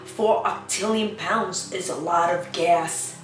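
A woman, perhaps in her thirties, a preschool teacher talking to small children in an animated, simple way with lots of questions. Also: on the low side, takes it slowly. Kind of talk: children's lesson and read-aloud. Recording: roomy, echoing, distant microphone, faint music.

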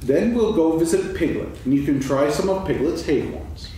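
Casting: a man as a gentle, musing, kindly bear, high and sing-song, in an echoey hall. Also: expressive, moderate pace, distant mic, lightly acted. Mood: mild. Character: gentle simple-minded male bear, kindly and sleepy. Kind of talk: staged reading of a children's story.

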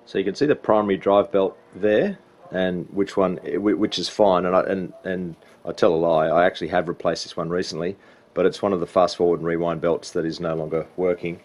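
Deep-voiced Australasian man, casual how-to. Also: fairly even intonation, a little fast, darkish tone. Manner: informal, step-by-step explaining. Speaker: repair hobbyist demonstrating a belt change. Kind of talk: casual hands-on repair walkthrough.

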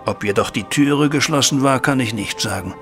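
An actor playing a troubled middle-aged banker, a widowed father, recounting events formally and deliberately in a German audio drama. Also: medium pitch, fairly bright tone, lightly acted. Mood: grave, agitated. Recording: music bed behind voice.

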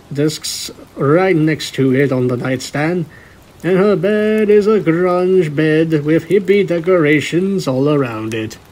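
A man's voice: on the high side, a little mellow, low-quality recording. A man narrating a cartoon fan fiction romance, reading it out like a story. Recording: faint noise behind the voice.

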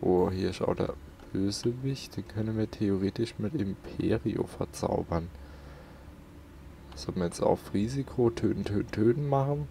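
A man's voice: lowish voice, moderate pace, vocal fry, warm tone, slight background noise. A man doing casual let's play commentary and reading in-game notes aloud, close to the microphone.

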